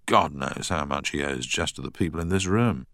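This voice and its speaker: A man voicing a chummy, conspiratorial theatre producer who lowers his voice to gossip. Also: animated, moderate pace, a bit bright, no echo, clean studio sound. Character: smooth-talking male theatre producer.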